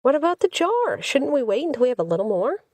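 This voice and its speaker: A young sweetheart as a woman narrator puts her on in an audiobook, pitched up and a little exasperated. She questions and lightly complains in a dry, close recording. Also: sing-song, quick pace, a little mellow, no room sound. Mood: questioning.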